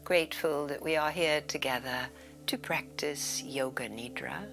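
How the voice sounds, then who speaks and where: A woman leading a guided meditation as a yoga nidra teacher, speaking in a soothing, deliberate way with breathy, whispered phrase endings. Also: deep voice, over music.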